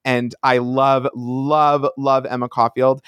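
A man's voice: medium pitch, little pitch movement, takes it slowly, fairly bright tone, clear, resonant tone, no echo, clean studio sound. A man co-hosting a podcast in casual fan chat, with a campy, slangy and playfully emphatic way of talking. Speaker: podcast co-host.

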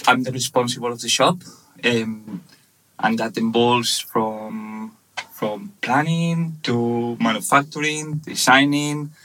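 A man in his thirties from Spain with a Spanish accent, speaking English as a second language, telling his story haltingly in a casual interview with many fillers. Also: medium pitch, pushed and bright, a little hiss or hum, poor audio. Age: 30s. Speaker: guest, webshop manager.

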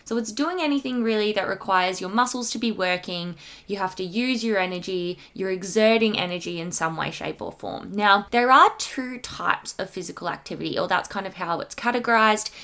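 A woman's voice: medium pitch, a bit bright. A woman with a slightly congested voice hosting an informative podcast, explaining things directly to listeners as a teacher would. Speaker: podcast host, secondary school health teacher.

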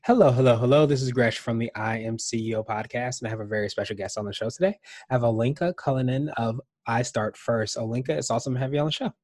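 A man's voice: medium pitch, fast, darkish tone, no room sound, clean studio sound. A man hosting a podcast, introducing his guest in an upbeat, enthusiastic way. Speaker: podcast host. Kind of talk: podcast interview.

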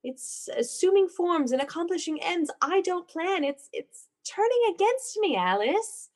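Woman playing obsessive young woman with eerie conviction, high swooping voice, breathless radio melodrama. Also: big pitch swings, measured pace, full character voice. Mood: haunted, dread. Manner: theatrical, breathless, intense. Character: obsessive, unhinged young woman convinced of her dark power. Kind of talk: melodramatic old-time radio play acting.

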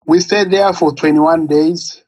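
A man from Congo, in his thirties, with a slightly husky, raised voice. He speaks haltingly, searching for words as he recounts his story in an interview. The recording is dry and close. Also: on the high side, steady tone, darkish tone, no room sound, dull audio. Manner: halting, searching for words, non-native English phrasing. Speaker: guest, nonprofit founder.

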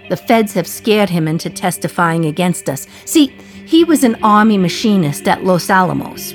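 A woman's voice: mid-range voice, lightly acted. Defiant, wry New mother played by an actress, music behind. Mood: bitter. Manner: wry, emphatic, confiding storytelling. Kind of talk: dramatic radio play monologue.

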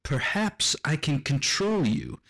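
A man playing a cold, condescending machine in a radio drama, speaking deliberately and with an air of superiority. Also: on the high side, very animated, vocal fry, a bit bright, exaggerated voice. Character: cold, superior music-making machine with ambitions of control.

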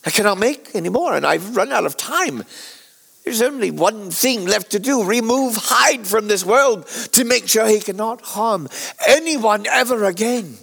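An actor playing an anguished, guilt-ridden Victorian gentleman scientist in a radio drama, confessing haltingly and theatrically as he nears death. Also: sits high, animated, vocal fry, breathy edge, full character voice. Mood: resigned.

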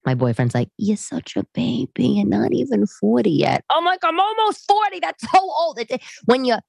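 A woman in her late thirties hosting a podcast, talking casually and bluntly to her listeners in an animated, conversational way. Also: a little high, normal speed, gravelly, breathy edge, no echo, clean studio sound. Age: late 30s. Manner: animated, conversational, acts out others' remarks. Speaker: podcast host. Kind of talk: casual, chatty monologue to listeners.

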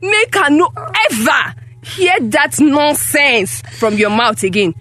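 A woman's voice: high-pitched, very animated, unhurried, a bit bright, no room sound, exaggerated voice. A woman with a Nigerian accent playing a harsh, accusing mother who runs a small shop, in a Pidgin drama. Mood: angry, accusing. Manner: scolding, exclaiming, emphatic rhetorical questions.

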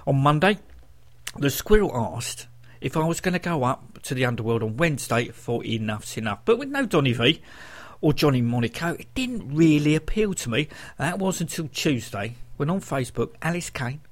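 A man's voice: fairly high pitch, big pitch swings, moderate pace. A man presenting a rock radio show, telling personal anecdotes between songs.

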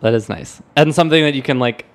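A man's voice: mid-range voice, fast. A man hosting a podcast, chatting casually about music, emphatic and informal, often circling back as he searches for words. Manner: hesitant, repetitive, searching for words. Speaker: podcast host. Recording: music playing behind the voice. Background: song playback.

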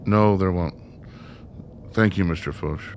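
A male actor plays an uncomfortable male newcomer in a radio drama. His voice is on the low side, and his delivery is casual but halting and imploring. Faint noise sits behind him. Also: close to an everyday voice. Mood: flustered, pleading. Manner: hesitant, halting, casual and pleading. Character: awkward, uneasy newcomer man confined in a facility. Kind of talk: naturalistic radio play acting.

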